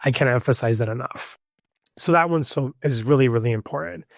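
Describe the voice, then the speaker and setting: A man's voice: mid-range voice, brisk, vocal fry, dry recording, studio quality. A young adult man, a native Spanish speaker, hosting a podcast alone and stressing his points earnestly with frequent repetition. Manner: earnest, emphatic, repeats key points. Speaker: podcast host. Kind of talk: solo podcast monologue.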